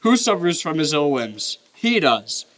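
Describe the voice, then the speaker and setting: An actor playing a good-humoured, forgiving nephew in a radio play. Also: male voice, on the high side, sing-song, unhurried, fairly bright tone. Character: jovial, forgiving nephew who laughs easily. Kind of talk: live radio play acting.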